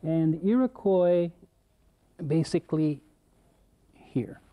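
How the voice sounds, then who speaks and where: Relaxed young American man. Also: on the high side, takes it slowly, phone-quality sound. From southwestern United States. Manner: relaxed, hesitant with fillers. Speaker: guest, teacher trainee. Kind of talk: classroom guest answering questions. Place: school studio.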